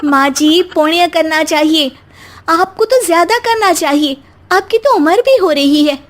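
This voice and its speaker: A woman playing a naive, soft-hearted young daughter-in-law in a comic story, earnest, polite and pleading. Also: extremely high pitch, measured pace, pitched above the performer's own voice. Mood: pleading, preachy. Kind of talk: acted Hindi comic story dialogue.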